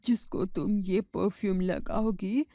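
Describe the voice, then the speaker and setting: Slow, mellow forest holy man played by a woman. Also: mid-range voice, steady tone, no echo, studio quality. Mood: calm, reassuring. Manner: measured, reassuring.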